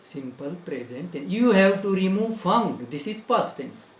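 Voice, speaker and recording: An Indian English-accented man teaches grammar in slow, deliberate dictation. He spells words out one letter at a time and repeats each phrase. His fairly high voice rings in an echoey room. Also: big pitch swings. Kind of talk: grammar lesson.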